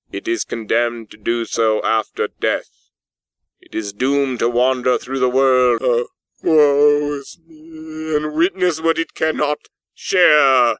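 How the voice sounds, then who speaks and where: A mournful ghost in chains, acted by a man, declaims very slowly and solemnly. The words are drawn out with woe, as in a dramatic storybook reading, and the recording is dry. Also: medium pitch, a bit bright, clear, resonant tone, no room sound, voice pushed up. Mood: doomful, woeful.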